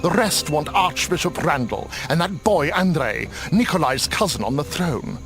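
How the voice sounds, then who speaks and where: Theatrical, high-voiced sly old necromancer. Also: male voice, animated, moderate pace, over music. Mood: scheming. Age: older. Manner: theatrical, drawling, mock-sweet. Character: sly old male necromancer, mockingly courteous, gleefully macabre. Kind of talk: video game cutscene acting.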